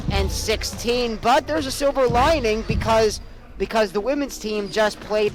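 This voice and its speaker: A man's voice: sits high, a bit bright. A young man working as a sportscaster, giving emphatic, projected sports broadcast commentary. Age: young adult. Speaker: sportscaster, play-by-play announcer.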